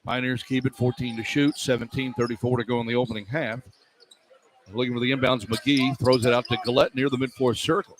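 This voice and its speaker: A man's voice: mid-range voice, brisk, crowd noise. A man doing live radio play-by-play of basketball, describing the action in clipped running phrases. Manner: clipped running description, excited on key plays. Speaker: play-by-play sports announcer. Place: courtside in an indoor arena. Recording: clear arena noise behind voice. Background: arena ambience.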